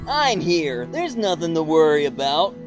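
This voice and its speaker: A woman's voice: sits low, big pitch swings, unhurried, over music. A storyteller voicing a cocky teenage boy who brushes off every worry in a swaggering, dismissive way.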